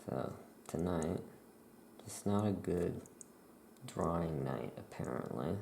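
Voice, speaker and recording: Very slow, low, creaky soft-spoken ASMR voice. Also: animated. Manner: unhurried, murmuring to self while writing. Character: laid-back Salem witch shopkeeper. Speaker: ASMR performer playing a witch shopkeeper. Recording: roomy echo, faint background noise.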